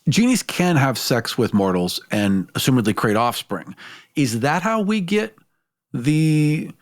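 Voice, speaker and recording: A man's voice: mid-range voice, sing-song, measured pace, dry recording. A man hosting a podcast, asking questions in casual conversation. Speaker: podcast host. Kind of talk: casual interview chat.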